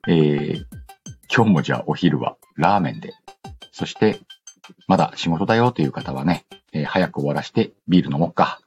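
A man's voice: rumbling low, animated, normal speed. A man hosting a casual radio-style show, chatting and reading listener letters in a playful, slangy way with self-interrupting asides. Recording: dull lo-fi sound with music behind. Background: background music.

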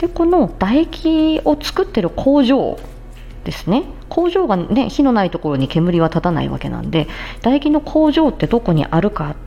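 A woman's voice: medium pitch, sing-song, moderate pace, vocal fry, muffled recording. A woman hosting a casual health talk as a speech therapist, explaining things conversationally and speaking straight to her listeners. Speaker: podcast host, speech-language therapist.

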